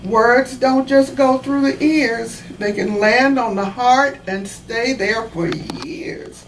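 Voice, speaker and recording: A woman reading a children's storybook aloud in a deliberate, instructive way, pausing to explain things as she goes. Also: fairly high pitch. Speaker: author reading her own children's book. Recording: roomy, distant mic, faint background noise.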